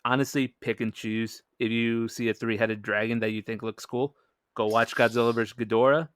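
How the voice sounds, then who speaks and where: A man's voice: medium pitch, little pitch movement, fairly quick, fairly bright tone, a little hiss or hum. A man in a casual podcast discussion, speaking informally and easygoing as a co-host.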